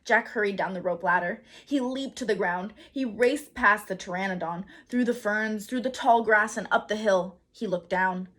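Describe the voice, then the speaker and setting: Female storybook narrator, teacherly and expressive, husky mid-range voice with dramatic emphasis. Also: mid-range voice. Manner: dramatic emphasis, pauses to quiz listeners. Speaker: read-aloud teacher.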